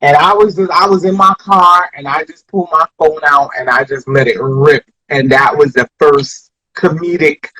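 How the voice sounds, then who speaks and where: Woman, American accent, husky voice, rambling storyteller, casual interview guest. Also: sits low, steady tone, moderate pace, hardly any pauses, pushed and bright, rough recording. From Flatbush, Brooklyn, New York. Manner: rambling, reflective, frequent restarts and "you know".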